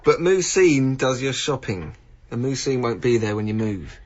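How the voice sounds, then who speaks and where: Casting: male actor for flustered, earnest official, mid-pitched and animated, hesitant speech, slightly muffled radio play. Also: moderate pace, natural voice. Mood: defensive, apologetic. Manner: hesitant, stammering. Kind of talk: radio drama acting. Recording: muffled radio drama, faint music.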